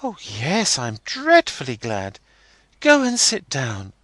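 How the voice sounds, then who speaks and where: A man voicing a playful, pouting young woman in a high, sing-song girlish voice. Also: huge pitch swings, slow pace, a bit bright, dry recording, exaggerated voice. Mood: playful, teasing.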